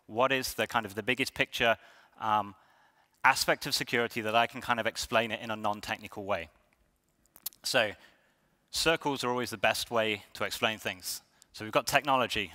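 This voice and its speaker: Bright-voiced man speaking in a hall. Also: mid-range voice. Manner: conversational, many ums and restarts. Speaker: conference speaker. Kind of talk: conference talk. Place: lecture hall. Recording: reverberant hall.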